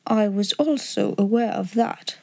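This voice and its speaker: A woman's voice: medium pitch, a little slow, vocal fry, darkish tone. A woman voicing a languid, incisive male detective in an audiobook story, with a dry, clipped, self-assured manner.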